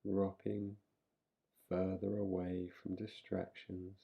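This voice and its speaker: Very deep, slow-speaking male meditation guide. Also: monotone, gravelly, slightly rough, muffled recording. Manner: soothing, unhurried, with long pauses between short phrases. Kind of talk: guided relaxation meditation, yoga nidra.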